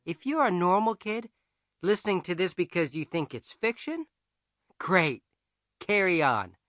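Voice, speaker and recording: A man reads a first-person children's story in a boy's persona, with a bright tone and very lively pitch. His delivery is conversational and wry, recorded dry and close. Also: dry recording. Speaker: audiobook narrator. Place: dry studio.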